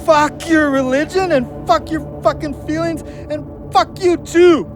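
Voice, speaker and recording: A belligerent, loud, high-voiced brawler. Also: male voice, measured pace, soft background music. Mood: furious, taunting. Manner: profane, taunting, loud. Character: belligerent, out-of-control man spoiling for a fight. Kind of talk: heated audio drama acting.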